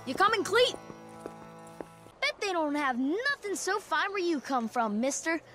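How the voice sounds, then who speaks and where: An actress voicing a cheeky young street urchin boy who talks fast and brash, wheedling a stranger. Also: extremely high pitch, big pitch swings, loud music behind the voice, heavily acted.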